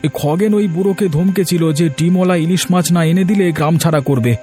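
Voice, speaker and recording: A man's voice: fairly high pitch, brisk, mellow, soft background music, close to an everyday voice. A man voicing an honest, earnest young village man in a cartoon, pleading and direct. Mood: worried. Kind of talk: cartoon voice acting.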